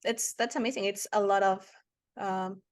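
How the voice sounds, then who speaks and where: A woman's voice: medium pitch, fairly even intonation, normal speed, no echo. A woman hosting a casual livestream interview, speaking in an effusive, admiring way. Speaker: interviewer, show host.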